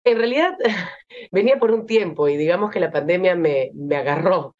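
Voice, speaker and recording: A young Peruvian woman with a Peruvian Spanish accent, an actress being interviewed, speaking thoughtfully with many pauses and fillers. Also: fairly low pitch, expressive, a little mellow, muffled recording. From Peru. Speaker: actress guest. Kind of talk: interview conversation.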